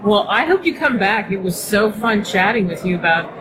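A woman's voice: mid-range voice, sing-song, moderate pace, noisy background. A woman hosting an interview, chatting casually and in an upbeat way with her guest. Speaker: podcast host.

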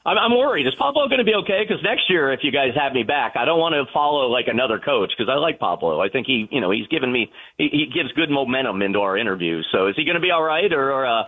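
Man, excitable, rambling, laughs a lot, very lively pitch, radio call-in. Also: quick pace, hardly any pauses, narrow, tinny audio. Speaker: radio show guest. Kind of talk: casual sports radio chat. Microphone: phone or remote line from a hotel room.

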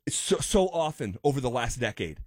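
Analytical sports radio host, dry studio. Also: male voice, medium pitch, big pitch swings, normal speed, dry recording. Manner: analytical, builds points with frequent restarts. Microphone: studio microphone.

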